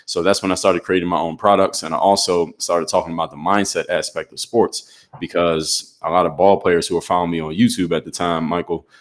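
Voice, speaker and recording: Deep-voiced man telling his story. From Philadelphia. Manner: steady, measured storytelling with pauses. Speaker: guest, former pro basketball player turned entrepreneur. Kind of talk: podcast interview storytelling.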